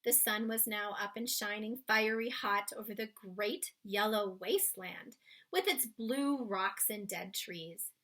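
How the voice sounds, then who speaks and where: Female children's read-aloud narrator, bright tone, unhurried delivery. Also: medium pitch. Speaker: storybook reader.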